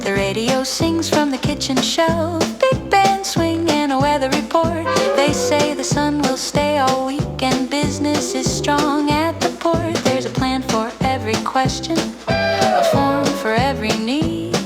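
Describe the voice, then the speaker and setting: A woman's voice: sits high, measured pace, hardly any pauses. A woman singing cheerfully in a retro big-band style. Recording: loud musical backing. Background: music.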